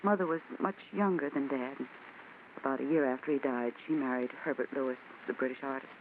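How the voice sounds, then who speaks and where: A woman's voice: rumbling low, a little fast. An actress playing a frightened young woman seeking a detective's help, earnest and anxious, in 1940s radio acting style. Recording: tinny old radio recording, faint hiss.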